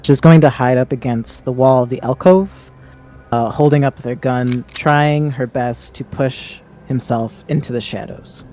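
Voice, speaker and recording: Male tabletop player, medium-pitched voice, narrating his character's moves. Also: unhurried, mellow, soft background music. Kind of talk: tabletop roleplay, describing character actions.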